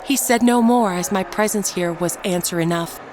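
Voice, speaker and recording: A woman playing a wronged, bitter village wife, telling her own dark fantasy tale in solemn, deliberate scripted narration. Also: mid-range voice, background noise, close to an everyday voice. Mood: uneasy, awed. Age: middle-aged. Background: music.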